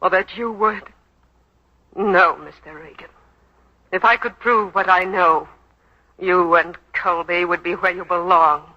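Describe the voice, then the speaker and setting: A woman's voice: lowish voice, fairly even intonation, a little slow, long pauses. An actress playing a bitter, grieving daughter in a 1940s radio drama, intense and accusing in her delivery. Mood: indignant, grieving. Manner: intense, accusing, clipped retorts. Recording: dull vintage 1940s radio recording.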